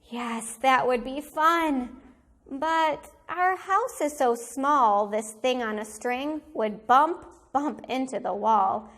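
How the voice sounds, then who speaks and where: A woman teacher reading a children's picture book aloud in an animated, deliberate storytelling manner for young listeners. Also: high voice.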